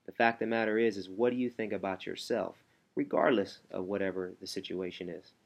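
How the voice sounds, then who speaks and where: A man working as a motivational coach, talking from home in a hushed, rambling way because his baby is asleep nearby. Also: deep voice, fairly even intonation, a little fast, vocal fry. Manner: soft, hushed delivery to keep a sleeping baby quiet, rambling with restarts. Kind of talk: casual motivational talk to camera.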